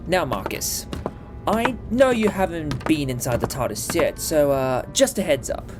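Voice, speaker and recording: A man acting a brisk, upbeat time-travelling alien adventurer in a fan audio drama, playful with hesitant asides. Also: fairly high pitch, animated. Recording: loud music behind.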